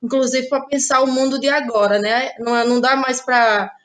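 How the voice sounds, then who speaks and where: A woman's voice: sits high, steady tone, normal speed, fairly bright tone. A woman from Brazil, reflectively telling about her own work as an interview guest. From Ceará, Brazil. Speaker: interviewed playwright and actress. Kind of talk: conversational personal account.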